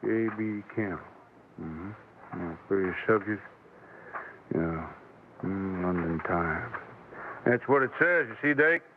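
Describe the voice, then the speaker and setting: An actor playing a self-satisfied, controlling sheriff in a 1950s radio western, folksy and authoritative. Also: male voice, deep voice, expressive, slow, pauses often, noisy background, heavily acted. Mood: skeptical. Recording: tinny vintage radio recording.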